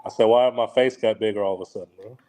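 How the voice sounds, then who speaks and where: A man's voice: on the low side, steady tone, fast, mellow. A man joking and heckling as a podcast guest on a video call. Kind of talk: casual banter.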